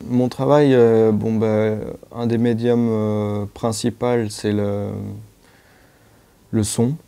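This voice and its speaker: A man who is a sound artist speaks in a documentary interview, thoughtful and halting. His voice is fairly low, mellow and dark, with little melody. He talks in a low-key, reflective way. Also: level tone, clear, resonant tone.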